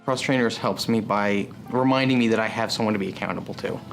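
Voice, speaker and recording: A thirty-year-old man giving a reflective, unhurried testimonial about his church group. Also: lowish voice, creaky. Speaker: church young adults group member. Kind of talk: testimonial interview. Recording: music bed underneath.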